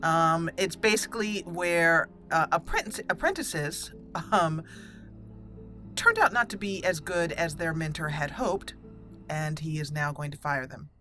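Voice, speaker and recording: Low-pitched woman narrating a game. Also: a little slow, sharp tone, faint music behind. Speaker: gaming channel host. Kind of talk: roleplay let's play commentary, reading character dialogue in between.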